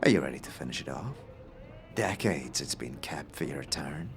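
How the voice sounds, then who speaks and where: A man voicing a wild-eyed, eccentric, middle-aged inventor in a fantasy audio drama, speaking grandly and cryptically with theatrical pauses. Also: a bass voice, lively intonation, faint music behind, voice pushed down. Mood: solemn, cryptic.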